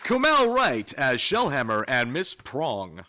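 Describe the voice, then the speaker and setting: A man's voice: mid-range voice, wildly swooping pitch, takes it slowly, bright, forceful tone, slight background noise. A man reading scripted credits as a radio announcer, recorded live in a theater. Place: theater stage, live performance.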